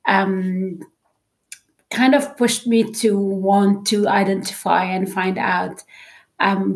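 A woman in her thirties from Lebanon, a psychologist guest who tells her story in a conversational way with many hesitant pauses. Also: medium pitch.

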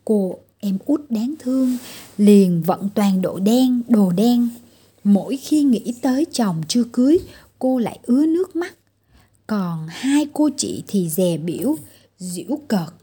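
A woman reading a scripted fairy tale for children as a storyteller, with a husky, breathy voice. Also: mid-range voice, expressive, unhurried, dark tone.

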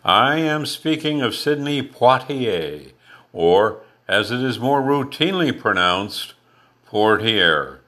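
Slow, measured older man host. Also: mid-range voice, expressive, pushed and bright. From Canada. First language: Canadian French. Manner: measured, deliberate reading. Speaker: podcast host. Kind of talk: scripted biographical narration.

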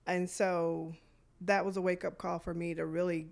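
Gravelly low-voiced woman guest. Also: flat delivery, moderate pace, a little mellow. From Detroit. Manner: reflective, many fillers. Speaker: guest, youth programs director. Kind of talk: podcast interview, personal story.

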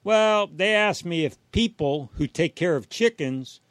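A man with a moderately high-pitched voice co-hosts a home improvement radio show. He delivers scripted tips with a folksy, playful, joking touch. The recording is very dry. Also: lively intonation, unhurried, a bit bright, no room sound. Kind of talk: jokey scripted home-advice banter.

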